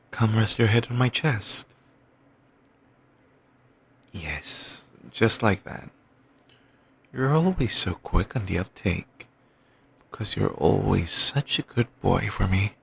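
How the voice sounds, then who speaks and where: A young man voicing an obsessive, doting boyfriend in an ASMR audio roleplay, murmuring soft, soothing, controlling reassurances. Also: expressive, takes it slowly, gravelly, long pauses, husky, heavily acted. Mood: tender. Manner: coaxing, endlessly affectionate, quietly controlling. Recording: home mic, low-quality.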